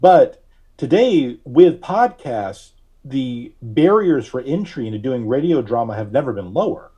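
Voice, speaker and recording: A man giving casual interview answers as a podcast guest, with hesitant, measured phrasing. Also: medium pitch, lively intonation, dark tone, slightly rough. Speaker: podcast guest, writer and filmmaker.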